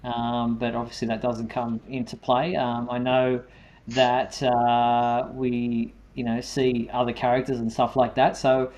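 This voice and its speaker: A man hosting a fan news show in a conversational, somewhat halting style. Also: medium pitch, completely flat delivery, darkish tone. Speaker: show host. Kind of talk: semi-scripted fan news presenting.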